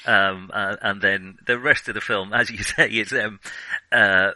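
Bright-voiced man chatting about films. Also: fairly low pitch, fairly even intonation, measured pace, no echo, poor audio. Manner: hesitant, digressive, thinking aloud; self-confessed nerdy enthusiasm. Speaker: film podcast host. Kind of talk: casual film discussion.

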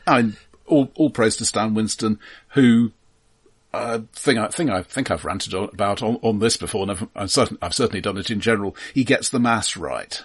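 A man's voice: on the low side, normal speed, breathy edge. A man co-hosting a casual film podcast, talking in a pedantic, explanatory way and often stammering and repeating words as he goes.

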